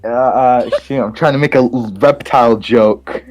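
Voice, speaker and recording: A man's voice: mid-range voice, fairly even intonation, normal speed, soft background music. A man reading game text aloud and reacting casually, playful and stumbling over lines.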